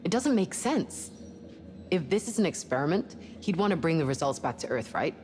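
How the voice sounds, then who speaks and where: A woman's voice: low voice, big pitch swings, normal speed, a little mellow. A woman playing a cautious, level-headed ship's doctor in a sci-fi game, speaking in a wary, firm, wry way. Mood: puzzled. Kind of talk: naturalistic dramatic game cutscene acting.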